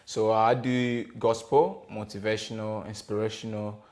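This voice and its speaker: A man in his thirties from the Democratic Republic of Congo, talking as a podcast guest. His voice sits fairly low, and his speech is hesitant and loose, full of fillers and self-corrections. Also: level tone, unhurried, over a noisy crowd. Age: 30s. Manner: hesitant, many fillers and restarts. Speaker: podcast guest, gospel rapper. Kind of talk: casual interview conversation.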